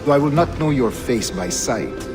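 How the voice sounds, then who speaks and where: An actor playing a dignified, courtly Spanish governor reading a letter as game voice-over, formal and measured, with a Spanish accent. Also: male voice, mid-range voice, animated, natural voice. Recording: loud music over the voice.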